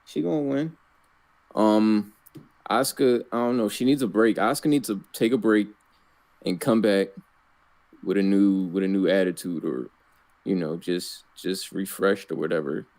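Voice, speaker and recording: A man co-hosting a casual podcast, giving wrestling picks in a relaxed, blunt way and repeating short phrases. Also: fairly low pitch, normal speed. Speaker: podcast co-host. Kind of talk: casual wrestling predictions chat.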